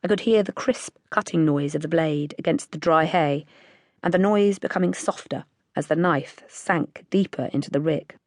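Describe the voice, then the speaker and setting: A woman's voice: low voice, normal speed, darkish tone, no echo, clean studio sound. A woman with a British accent reading literary fiction as an audiobook narrator.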